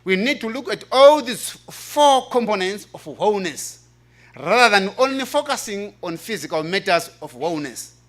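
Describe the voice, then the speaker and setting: A man's voice: sits high, very animated, moderate pace. A man with an African accent giving an earnest, emphatic conference presentation in a preaching style, repeating key phrases. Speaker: presenter reading a paper at a church health forum.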